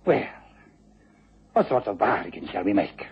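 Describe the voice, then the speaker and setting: An actor playing a smug, menacing gentleman blackmailer with mock-courteous, gloating manners in a radio drama. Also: lowish voice, sing-song, measured pace, creaky, husky, exaggerated voice. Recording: dull, noisy old radio recording.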